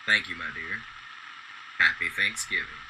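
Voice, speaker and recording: An actor playing a kindly, loyal old garbage man in a radio drama, with a gentle, folksy, polite way of talking. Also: low voice, a little slow. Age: older. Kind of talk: golden-age radio drama acting. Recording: clearly noisy background.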